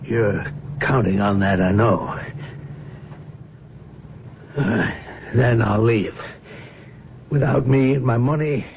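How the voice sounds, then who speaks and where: An actor playing a weary but stubborn older husband, halting and dry, in a radio drama. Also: male voice, mid-range voice, vocal fry. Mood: firm, bitter. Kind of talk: 1970s radio drama acting. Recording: dull, hissy old radio recording.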